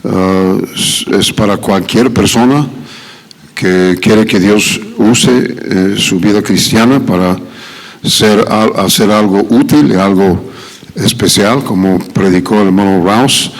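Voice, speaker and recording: Low-voiced preacher with Mexican Spanish. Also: male voice. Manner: halting, with many "ah" fillers, rising to emphatic questions. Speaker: visiting preacher. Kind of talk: sermon. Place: church sanctuary. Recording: echoey church hall.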